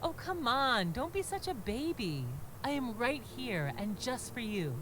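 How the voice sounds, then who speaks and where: A woman's voice: fairly high pitch, very animated, a little slow, noisy background. An actress voicing a teasing, possessive female apparition in a video game, playful and scolding. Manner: playful, scolding, insistently familiar.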